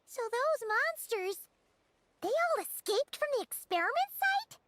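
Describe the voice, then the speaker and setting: A tiny, childlike video game companion. The voice is extremely high and squeaky, swinging up and down with earnest worry, under loud noise. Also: female voice, expressive, measured pace. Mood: worried, curious.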